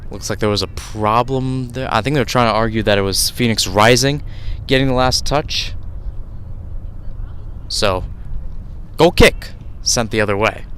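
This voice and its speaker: A man's voice: medium pitch, measured pace, long pauses, a bit bright, a little hiss or hum. A male commentator with a mid-range voice calls a soccer match live for radio. He follows the play in brief, clipped phrases. He is speaking outdoors at the field.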